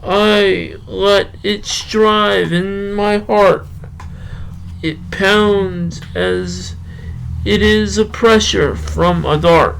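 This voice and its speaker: Man acting earnest male poetry host, high gravelly voice, halting, drawn-out, faint hiss. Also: fairly bright tone, heavily acted, rough recording. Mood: slow, earnest. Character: Slow Mo Joe, slow, dim, earnest male poetry show host. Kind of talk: halting poetry recital and rambling podcast talk.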